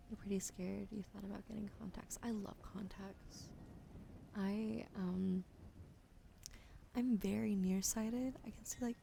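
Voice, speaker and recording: A woman whispering gently in a slow, soothing ASMR stream, welcoming and thanking her viewers. Her voice carries a light vocal fry. A clear steady hiss of noise sits behind her. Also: a little high. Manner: greets and thanks chat.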